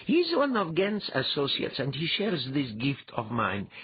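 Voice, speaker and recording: Man voicing an intense young seer woman, high and husky. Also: wildly swooping pitch, unhurried, no echo, dull audio, heavily acted. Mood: earnest.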